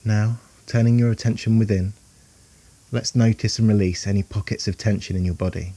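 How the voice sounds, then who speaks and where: A man guiding a meditation in a calm, soothing, unhurried way, leaving long pauses between phrases. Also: on the low side. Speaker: meditation guide. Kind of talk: guided meditation narration.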